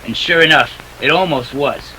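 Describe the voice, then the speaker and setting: A man's voice: a little high, moderate pace, sharp tone, slight background noise, poor audio. A man playing a tough, wisecracking private detective in a 1940s-style radio drama, clipped, sardonic and impatient. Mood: wry narrating. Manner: clipped, hard-boiled, sardonic.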